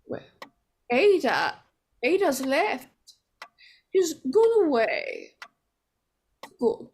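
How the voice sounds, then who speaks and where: An actress playing a nervous, evasive, scheming married woman in 1940s radio horror style, stammering and halting as she talks. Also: sits high, huge pitch swings, a little mellow, exaggerated voice. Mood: evasive, uneasy.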